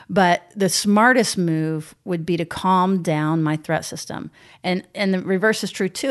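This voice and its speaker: A woman co-hosting a podcast, explaining ideas in a relaxed way and often laughing between points. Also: lowish voice. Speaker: podcast co-host. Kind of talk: conversational podcast discussion.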